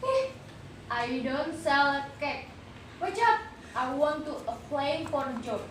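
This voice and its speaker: A woman's voice: high voice, big pitch swings, slow pace, full character voice. A woman acting a rude, ungrateful, demanding young daughter in an amateur puppet show. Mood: defiant, dismissive. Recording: echoey room with background noise.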